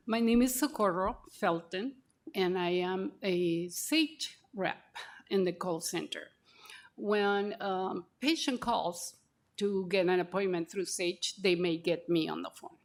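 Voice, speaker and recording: A woman in her sixties from Mexico City, a native Spanish speaker with a Mexican Spanish accent, telling her personal story at a conference session, halting and full of fillers. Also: medium pitch. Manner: slow, halting, with frequent fillers. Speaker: call center representative and speaker. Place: conference breakout room.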